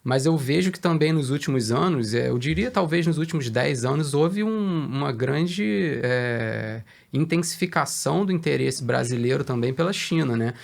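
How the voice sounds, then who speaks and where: A Brazilian man, a research director, answering questions in a business interview in a measured, hesitant way with many fillers. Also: on the high side, expressive, vocal fry.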